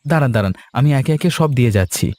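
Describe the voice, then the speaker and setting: A man's voice: mid-range voice, expressive, rapid-fire, a little mellow, no echo, lightly acted. A man voicing an earnest, plucky young village eatery worker in a cartoon folk tale, polite but firm. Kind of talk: animated folk tale voice acting.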